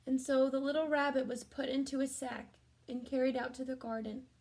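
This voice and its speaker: A woman reading a storybook aloud to children, adding gentle chatty comments and questions for young listeners. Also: high-pitched, flat delivery, a little fast. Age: adult.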